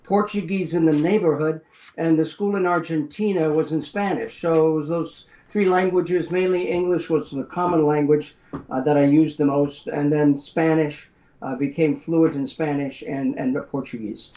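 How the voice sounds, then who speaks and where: An older man with an American accent, raised in Brazil, telling his life story in a measured interview manner with frequent pauses and fillers. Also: on the high side, steady tone, dark tone. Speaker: guest author. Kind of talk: interview conversation. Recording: roomy and muffled.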